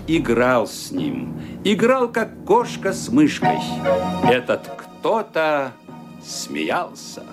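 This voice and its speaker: Casting: male narrator, fairly high and very animated, theatrical radio drama reading, faint music behind. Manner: theatrical, expressive.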